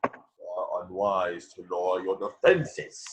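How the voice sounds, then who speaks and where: A man playing a menacing, stern dark lord in a table read of a film script, over a video call. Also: sits low, animated, unhurried, noisy background. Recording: dull video-call audio.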